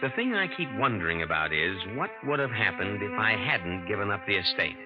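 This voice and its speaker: A nervous young impostor confides his story in a low, lively, hard-boiled voice. The sound is dulled like an old broadcast, with music playing clearly underneath. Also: a little fast. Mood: tense. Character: young male impostor, nervous but clever. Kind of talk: first-person radio drama narration. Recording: muffled 1950s radio drama with music.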